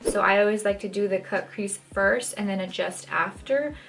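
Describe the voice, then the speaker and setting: Young woman, raspy and a bit croaky, casual how-to host with a faint music bed. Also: mid-range voice, moderate pace, a bit bright, rough recording. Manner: chatty, many "like" fillers, shifts pace often. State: dry throat, maybe catching a cold. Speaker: makeup tutorial host. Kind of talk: casual step-by-step tutorial talk. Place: home, in front of a mirror.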